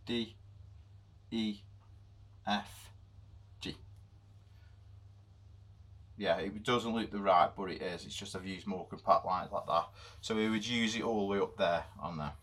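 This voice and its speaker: A man with a deep, low voice talks through a music theory lesson. His explanation is loose and unscripted, full of ums and pauses. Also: fairly bright tone. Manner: unscripted, halting explanation with many fillers. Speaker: music teacher.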